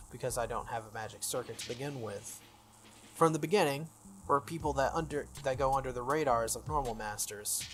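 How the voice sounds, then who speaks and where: Playthrough commentator, mid-pitched voice, casual read-aloud, slightly halting, background music. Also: a bit bright. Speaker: video game player reading aloud. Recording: music behind the voice. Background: background game music.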